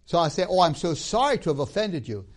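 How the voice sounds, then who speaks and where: Male lecturer from Tanzania, slightly high, animated delivery, storytelling style. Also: fast talker. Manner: storytelling with tag questions, acts out scenes. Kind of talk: lecture on religion and myth.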